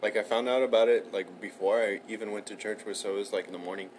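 Young dude co-hosting a podcast, says "like" nonstop, kinda low voice, faint hiss. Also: male voice, fairly even intonation, quick pace, darkish tone, rough recording. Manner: rambling, heavy use of "like", casual swearing. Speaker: podcast co-host. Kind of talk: casual chat.

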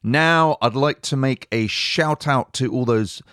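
A man's voice: medium pitch, unhurried, a bit bright, clean tone, no echo, studio quality. A man hosting a language-learning podcast, upbeat and chatty, laughing often. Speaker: podcast host. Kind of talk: casual podcast chat.

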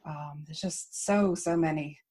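A woman from Ireland with an Irish accent, an author chatting warmly about her writing as an interview guest. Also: low-pitched, unhurried, husky. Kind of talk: casual interview chat.

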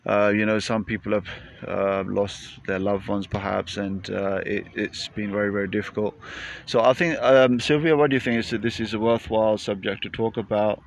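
A British man hosts a podcast outdoors, talking casually and hesitating often. His voice sits fairly low. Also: steady tone, normal speed, gravelly, a little hiss or hum. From Britain. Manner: hesitant, full of fillers. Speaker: podcast host. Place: outdoors in a busy public spot.

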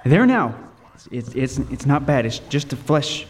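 A man's voice: medium pitch, big pitch swings, a little slow, husky. An actor playing a lighthouse keeper who tells his horror story with vivid, suspenseful intensity. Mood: soothing, nervous. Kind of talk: dramatic first-person narration in radio table read. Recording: roomy long reverb, faint noise.

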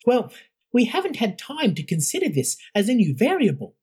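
A woman's voice: on the high side, wildly swooping pitch, normal speed, darkish tone, slightly rough, voice pushed up, full character voice. A narrator doing an awkward, stammering woman professor. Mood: nervous. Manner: hesitant, stammering.

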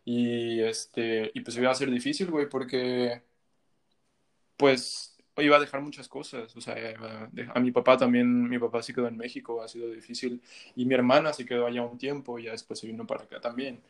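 A young Mexican man talks in a loose, rambling way, full of slang and fillers. His pitch sits in the middle range, and he sounds like a guest musician chatting with an old friend. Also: fairly even intonation, brisk. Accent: Mexican Spanish. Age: young adult. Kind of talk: casual chat.

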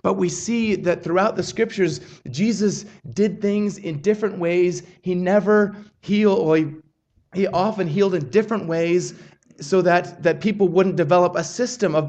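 High-voiced man preaching a sermon. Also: a little mellow. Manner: earnest, measured preaching with frequent restarts. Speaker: pastor.

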